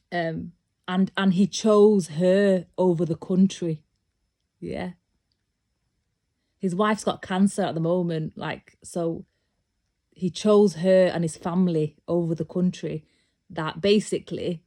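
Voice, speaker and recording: A British woman speaks in a fairly low, warm voice, talking straight to her viewers. She rambles, restarting sentences often. She turns insistent and emphatic as she presses her points. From Britain. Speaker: vlogger. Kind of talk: casual opinion monologue.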